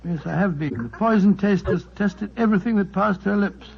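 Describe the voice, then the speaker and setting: A loyal, easily puzzled gentleman doctor with a high, creaky voice. He is earnest and blustery, in a dull vintage radio drama recording. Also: moderate pace. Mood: wary. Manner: blustery, earnest, old-fashioned exclamations. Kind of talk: 1940s radio drama acting and narration.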